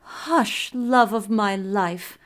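A woman's voice: medium pitch, drawn-out words. A woman voicing a florid, devoted nobleman in a fantasy story, with pompous, archaic, flowery phrasing. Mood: tender devotion. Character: florid, gallant, lovestruck nobleman, devoted and haughty. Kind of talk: fantasy audiobook character acting.

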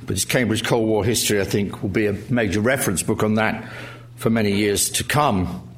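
A man's voice: on the low side, a little fast. Man, middle-aged, event chair; formal intro, hesitant, wry. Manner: hesitant, frequent uh fillers, wry humor. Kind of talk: formal introduction speech with jokes. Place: lecture hall with audience.

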